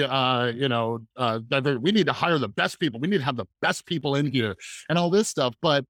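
Energetic, rambling male podcast host. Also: mid-range voice, dry recording. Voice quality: loud voice. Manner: rambling, filler-heavy, energetic. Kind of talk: casual conversational interview.

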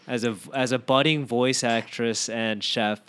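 A man hosting a casual podcast chat, teasing his guest with animated questions. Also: mid-range voice, normal speed, clear, resonant tone. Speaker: podcast host.

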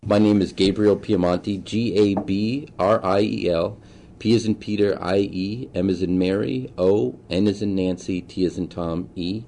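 A man in his forties from Boston giving thoughtful, halting answers as a political candidate in a conversational interview. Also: sits low, steady tone, vocal fry, dark tone. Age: 40s. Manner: halting, thoughtful, often pausing mid-sentence. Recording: faint background noise, room ambience.

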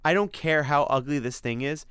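Man voicing a plucky, slangy young adventurer, pitched up. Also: normal speed, fairly bright tone, clear, resonant tone, no echo, studio quality, close to an everyday voice. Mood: pleading. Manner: slangy, informal, eager.

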